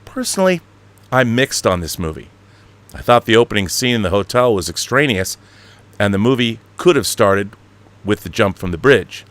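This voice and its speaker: A man's voice: on the low side, big pitch swings, normal speed, a bit bright. An American man hosting an online show in a casual, rambling monologue to his viewers, with a grandiose, playful showman's manner.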